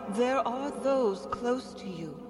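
A woman playing the solemn ghost of a dead woman in a radio drama, speaking in a drawn-out chant. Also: a little high, lively intonation. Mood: solemn, foreboding. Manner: slow, drawn-out, chanting delivery. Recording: long cavernous reverb, noisy background.

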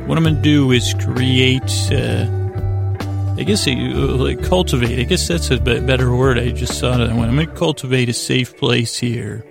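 Creaky-voiced man, halting and rambling. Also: medium pitch, music in the background. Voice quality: gravelly, creaky voice. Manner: halting, meandering, stammering self-corrections. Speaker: sleep podcast host. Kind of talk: rambling, improvised bedtime monologue.

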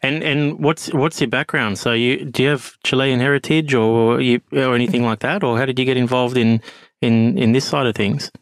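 A male podcast host asks his guest questions in an ordinary, mid-pitched voice and chimes in with short, encouraging reactions. The recording is dry and close, with no room sound. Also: fairly even intonation, normal speed, dry recording. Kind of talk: interview questions.

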